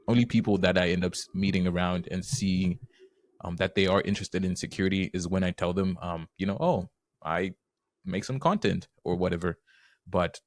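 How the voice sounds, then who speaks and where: A young man from Zimbabwe speaks as a podcast guest, easygoing and earnest, leaning on casual fillers. His voice is very low. The recording is dry and close, with no room sound. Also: no echo. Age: young adult. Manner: easygoing, earnest, many "you know" fillers. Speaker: guest, penetration tester.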